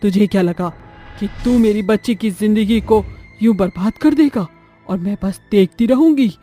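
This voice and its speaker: A man's voice: high-pitched, normal speed, mellow, faint music behind. A man voicing a fiercely protective, vengeful ghost mother turned witch, with menacing dramatic delivery in a horror cartoon. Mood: vengeful wrath. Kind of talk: Hindi horror cartoon acting.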